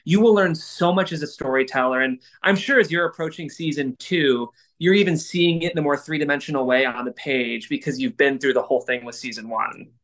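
Male co-host, somewhat high voice, encouraging, affirming, easy conversational delivery. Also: brisk, hardly any pauses, fairly bright tone. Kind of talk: conversational panel talk.

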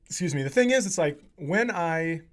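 A male comedian hosting a podcast in casual comic chat, riffing in an animated, profane, self-mocking way. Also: fairly high pitch, normal speed.

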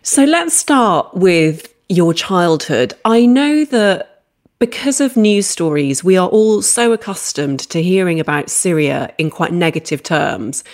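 A woman hosting a podcast, asking her guest friendly questions in a gentle, encouraging way. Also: lowish voice. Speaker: podcast host.

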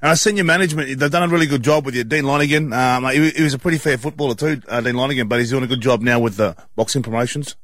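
A man's voice: mid-range voice, racing, few pauses, fairly bright tone, no echo, clean studio sound. A man with an Australian accent co-hosting on radio in casual, matey, blokey chat. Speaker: radio co-host.

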